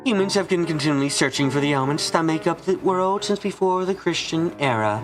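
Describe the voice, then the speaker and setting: A woman's voice: sits low, expressive, brisk, music in the background, voice pushed up. A woman voicing an elegant, amused witch who makes grand, theatrical pronouncements in an anime fan dub.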